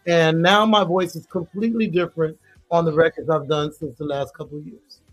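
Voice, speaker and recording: A woman's voice: low-pitched, fairly even intonation, a little fast, faint music behind. A woman singer, a guest in an interview, talking chattily about her career and laughing easily. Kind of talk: casual interview talk.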